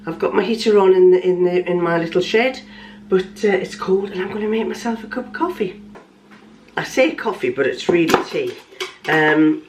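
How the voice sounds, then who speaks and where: A woman's voice: fairly low pitch, moderate pace, background noise. Chatty older woman, throaty. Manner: chatty, rambling with fillers and restarts. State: throaty from a lingering cough. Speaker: vlogger. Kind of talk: casual vlog chat. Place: small home workshop shed.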